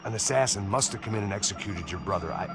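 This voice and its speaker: An actor playing a weary, hard-drinking ex-cop with a dry, sardonic manner, in a game cutscene. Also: male voice, fairly low pitch, expressive, fast talker. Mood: apologetic. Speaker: narrator and lead character. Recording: game cutscene with loud music score.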